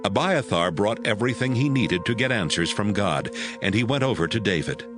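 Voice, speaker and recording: A man's voice: lowish voice, expressive, fairly quick, over music. A man narrating a dramatized audio Bible as scripted storytelling.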